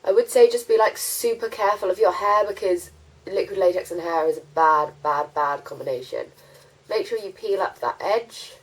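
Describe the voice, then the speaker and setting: Young woman host, stuffy head cold, chatty and relaxed, home tutorial feel. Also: medium pitch, slightly rough, poor audio. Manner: chatty, many ums and likes. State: congested with a cold. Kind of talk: casual how-to chat.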